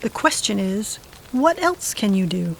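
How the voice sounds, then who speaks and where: A woman's voice: medium pitch, big pitch swings, measured pace, vocal fry, slightly rough, heavily acted, rough recording. A woman playing a wry, sardonic, restless character who talks in plain colloquial phrases in an audio drama. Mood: probing, wry.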